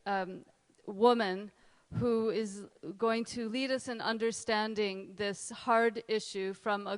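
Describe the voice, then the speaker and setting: Halting woman host with a smooth voice. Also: medium pitch. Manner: halting, many ums. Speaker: event host. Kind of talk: introducing speakers. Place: lecture hall. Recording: reverberant hall.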